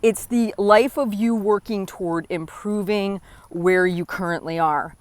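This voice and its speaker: A woman's voice: mid-range voice, measured pace, dry recording. Woman in her 40s giving a pep talk on her podcast, earnest and direct, dead dry close mic. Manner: earnest, encouraging, direct address with frequent "right?". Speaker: podcast host. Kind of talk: motivational solo talk.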